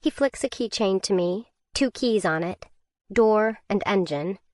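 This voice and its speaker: A woman narrating a first-person survival thriller audiobook in a tense, measured way. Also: mid-range voice, lively intonation, a little mellow, no echo, studio quality.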